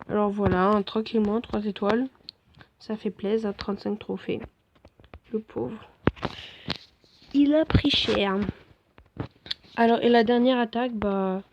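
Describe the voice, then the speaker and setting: A hoarse woman giving relaxed, rambling commentary over her own game replays, full of fillers and restarts. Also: mid-range voice, a little hiss or hum. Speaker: gaming video host. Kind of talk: casual gameplay commentary.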